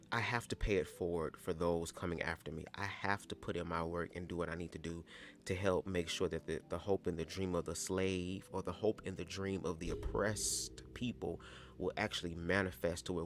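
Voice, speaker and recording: A young man with a very low, calm voice hosts a casual livestream from home, talking to viewers in a chatty, sassy way. Soft music plays faintly behind him. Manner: chatty, sassy asides with "girl" and "baby". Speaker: livestream host. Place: home, squeaky old chair.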